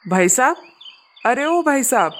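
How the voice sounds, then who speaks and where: A woman's voice: high-pitched, sing-song, a little slow, fairly bright tone, exaggerated voice. A woman voicing a demanding, businesslike male bird who leads a travelling flock in a children's cartoon story.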